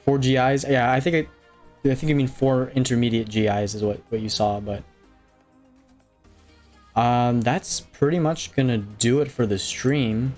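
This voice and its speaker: A man with a slight Hawaiian accent, talking loosely to viewers during a live stream. His voice sits at an ordinary middle pitch and he wanders through his thoughts with lots of ums and restarts. Faint music plays underneath. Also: unhurried, a little mellow. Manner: rambling, many fillers and restarts, reading chat aloud. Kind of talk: casual live stream chat.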